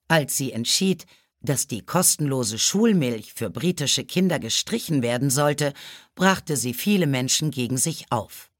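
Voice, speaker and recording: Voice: medium pitch, no room sound, clean studio sound. A narrator reading scripted biographical stories in a storybook style. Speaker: audiobook narrator.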